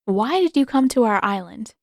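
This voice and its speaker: A woman's voice: fairly high pitch, lively intonation, moderate pace, creaky, no echo. A stern young woman who leads warriors in a fantasy audiobook, voiced by a woman reader. She speaks firmly, in clipped, authoritative phrases, recorded dry and close. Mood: suspicious. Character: Suki, stern, commanding young female warrior leader. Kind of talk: audiobook character dialogue.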